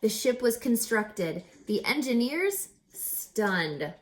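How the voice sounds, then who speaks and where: A woman's voice: on the high side, very animated, slow. A woman reading a children's story aloud as a teacher, dramatic and emphatic, putting rhetorical questions to her listeners. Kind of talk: expressive children's read-aloud with teacherly asides.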